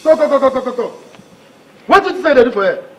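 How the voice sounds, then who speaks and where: A man's voice: very high-pitched, animated, fast talker, music in the background, poor audio. A man with a Nigerian Pidgin accent voicing a grumbling gateman who scolds and complains in exasperation. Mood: scolding.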